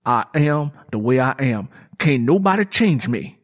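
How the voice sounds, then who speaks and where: A man's voice: mid-range voice, lively intonation, a little slow. Man acting out a bitter, hot-tempered farmer. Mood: defensive. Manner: combative, folksy rural speech. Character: proud, bitter, hot-tempered young farmer who drinks. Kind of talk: dramatised audiobook dialogue.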